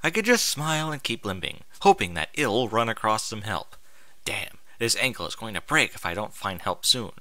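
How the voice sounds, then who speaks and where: A man narrates a first-person story with a very bright, forceful voice. His pitch moves around in a lively way and his delivery is expressive and informal. The sound is dry and close. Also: normal speed, no room sound. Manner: expressive, informal, sweary interjections. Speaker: fan fiction reader.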